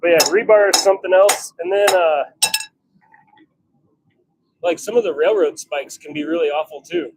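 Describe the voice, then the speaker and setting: A man chatting casually on a live stream, thinking out loud as he works in his workshop. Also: high-pitched, normal speed, pauses often, slight background noise, rough recording. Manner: chatty, thinking out loud while working at the forge. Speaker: livestream host, hobby blacksmith. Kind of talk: casual live-stream chat while working. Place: home forge workshop.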